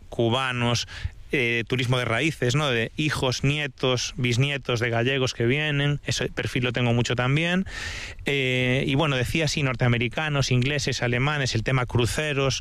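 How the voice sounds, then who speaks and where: A man's voice: mid-range voice, a bit bright, smooth, dry recording. A man from Galicia, a tour guide, explaining a walking route with enthusiasm on an outdoor trail. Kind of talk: casual outdoor conversation.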